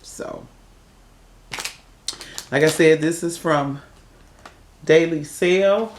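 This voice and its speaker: A woman casually chatting through an unboxing for her viewers, her voice raspy from a cold as she admires each piece. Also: low voice, drawn-out words, a little mellow, slight background noise. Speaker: unboxing vlogger. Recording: echoey room.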